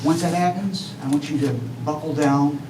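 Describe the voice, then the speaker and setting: A man giving an urgent, earnest public comment at a city council meeting, halting with fillers as he goes. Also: a little high, fairly even intonation. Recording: echoey hall, distant mic, noisy.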